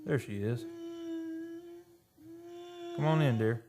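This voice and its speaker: Man acting a haunted oil driller, low-voiced, noisy recording. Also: warm tone. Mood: eerily courteous. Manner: halting, brooding, dramatic storytelling. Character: haunted, obsessed oil driller confessing a horror. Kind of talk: radio horror monologue.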